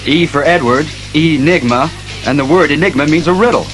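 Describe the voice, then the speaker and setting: A man playing a stern, analytical masked detective, speaking resolutely and deliberately in a superhero audio drama. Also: fairly high pitch, a bit bright, soft background music, close to an everyday voice. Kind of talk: superhero radio drama acting.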